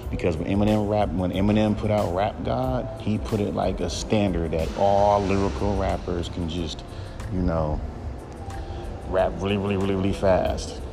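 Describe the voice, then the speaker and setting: A man with a deep voice hosting a podcast, talking bluntly and profanely in a casual solo rant. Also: fairly even intonation, normal speed, creaky, over music. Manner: blunt, profane, mocks others in high mimicking voice. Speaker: podcast host.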